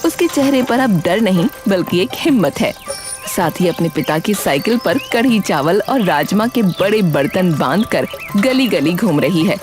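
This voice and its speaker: A woman's voice: medium pitch, expressive, measured pace, music in the background. A woman narrating a scripted cartoon story.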